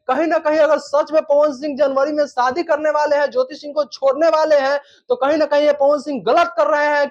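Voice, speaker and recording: A news presenter giving an informal commentary on viral news in repetitive, wordy phrasing. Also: high-pitched. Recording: dull lo-fi recording.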